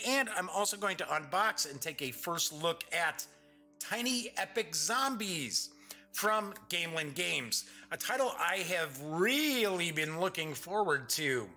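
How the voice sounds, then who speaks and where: A man hosting a casual board game news show, chatty and upbeat, his voice a bit congested and raspy. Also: high-pitched, pushed and bright. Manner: chatty, upbeat, reads press releases aloud.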